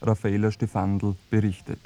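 Radio moderator, mellow, lowish, formal and measured, close dry sound. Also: male voice, flat delivery, creaky, no room sound. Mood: sober, formal. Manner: measured, formal, careful phrasing. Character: sober male radio presenter of investigative documentary. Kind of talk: scripted radio moderation in mock documentary.

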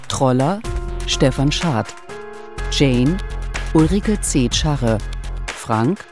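Slow-paced woman announcing credits. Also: low voice. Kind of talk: scripted radio drama credits announcement.

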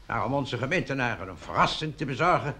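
A man playing a fussy, excitable village mayor in a radio play, speaking in a formal, speechifying manner. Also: mid-range voice, quick pace. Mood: conspiratorial.

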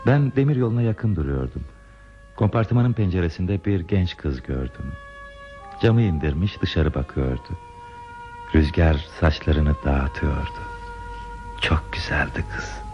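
A man's voice: extremely low pitch, animated, unhurried. An actor playing a bashful, wistful man who recalls a lost youthful love in a hesitant, reflective radio drama style. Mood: nostalgic. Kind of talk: radio theatre acting. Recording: dull radio drama recording with music.

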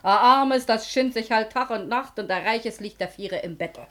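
Radio comedy: bossy, scheming Berlin washerwoman played by a woman, fairly high voice, nags and coaxes in broad colloquial speech. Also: moderate pace, fairly bright tone, close to an everyday voice. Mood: brisk, wheedling. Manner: wheedling, scolding, colloquial. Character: shrewd, bossy working-class washerwoman scheming and nagging her husband. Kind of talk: stage-comedy radio play acting in dialect.

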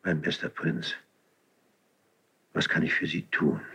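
An actor playing a loyal, concerned count in a classical radio drama, husky, speaking in an earnest, measured, deferential way. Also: male voice, a bass voice, pushed and bright, slight background noise, heavily acted. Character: loyal, concerned count, cautiously warning his prince. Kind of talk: classical radio stage acting.

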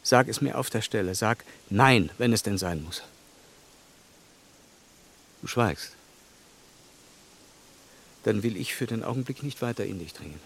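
An actor playing an earnest, plain-spoken, lovestruck English gentleman in a German radio play, speaking haltingly and tenderly. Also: lowish voice, animated, slightly rough, full character voice. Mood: ardent, tender.

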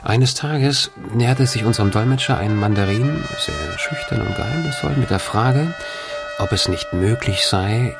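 An observant European traveller of old recounts his journey in a radio drama. His voice is low, his delivery measured and formal with old-fashioned wording, and music plays clearly behind him. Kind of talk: literary reading of an old travel account.

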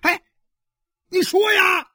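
A man acting an enraged, jealous husband who shouts coarse demands at his wife in an audiobook drama. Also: very high voice, fairly even intonation, fast, dry recording, studio quality, pitched above the performer's own voice. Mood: angry demanding.

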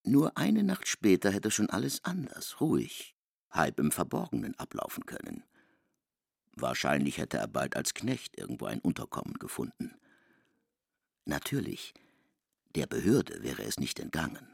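A man giving an expressive reading of literary narration in a radio drama. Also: low-pitched, normal speed, creaky, slightly rough, no echo, clean studio sound.

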